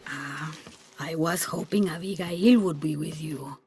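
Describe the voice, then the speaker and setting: A woman playing an aging village aunt in a video game, fussy and affectionate, with a measured, sighing, gently chiding way of talking. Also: low voice. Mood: tender. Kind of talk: cinematic video game acting. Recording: music behind the voice.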